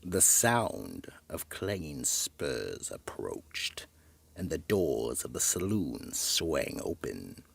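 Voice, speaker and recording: An extremely deep-voiced man narrates a radio mystery with theatrical drama. His delivery is slow and husky, sinking into a hush as phrases end. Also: big pitch swings, no echo.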